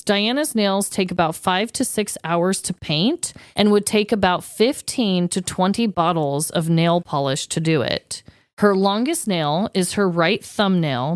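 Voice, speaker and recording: Smooth-voiced American woman, chatty podcast host. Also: on the low side, no room sound, clean studio sound. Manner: chatty, informal, quizzing her co-host. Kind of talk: casual podcast chat, telling trivia.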